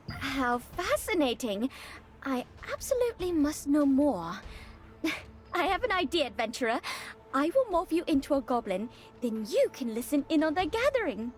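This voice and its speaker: An actress playing an eager, curious researcher mage in a fantasy video game, expressive and inquisitive. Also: female voice, high-pitched. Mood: intrigued.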